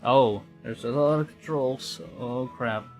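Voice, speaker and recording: A man from the Philippines chats casually as he hosts a game livestream. His delivery is unhurried and halting, and he often circles back to restate a phrase. Faint music plays behind his mid-pitched voice. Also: a little mellow. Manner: hesitant, halting, repeating and rephrasing phrases. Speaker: VTuber livestream host.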